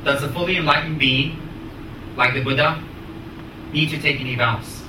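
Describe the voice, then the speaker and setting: Male dharma teacher, American accent, emphatic phrasing, echoey room with clear background noise. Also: a little high, fairly even intonation, distant mic. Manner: emphatic, deliberate, short repeated phrases. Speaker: Buddhist teacher. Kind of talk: spoken teaching with questions to students.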